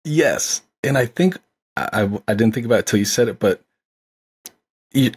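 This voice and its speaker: A man's voice: mid-range voice, expressive, moderate pace, breathy edge. A man co-hosting a casual film discussion, talking in an agreeable, enthusiastic, conversational way. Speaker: podcast co-host discussing films.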